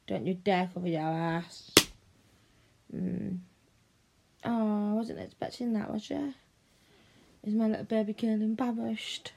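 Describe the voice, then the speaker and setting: Woman for a teasing, commanding audio roleplay: dark, breathy tone, unhurried. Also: mid-range voice. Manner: slow, domineering and teasing, slipping into whispers. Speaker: roleplay performer.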